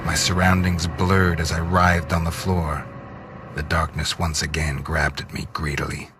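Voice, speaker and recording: A man's voice: very deep voice, little pitch movement, unhurried, over music. An actor playing a brooding, grave male vampire in a video game, in scripted noir style. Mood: brooding, grim. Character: brooding male vampire hunting for life-saving blood. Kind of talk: scripted first-person noir narration and game dialogue.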